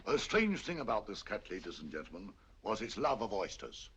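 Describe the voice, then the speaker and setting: An actor playing a pompous, chatty museum tour guide in 1940s film style, theatrical and sing-song. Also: male voice, lowish voice, sing-song, a little fast, fairly bright tone. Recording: dull lo-fi 1940s film soundtrack with faint room noise.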